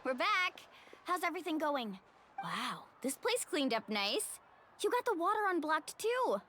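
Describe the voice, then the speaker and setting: Young female game shopkeeper: high, lively, breezy, friendly. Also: measured pace, a little hiss or hum, heavily acted. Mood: upbeat. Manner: breezy, casual. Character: Mualani, upbeat, friendly young shopkeeper woman. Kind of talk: anime game voice acting.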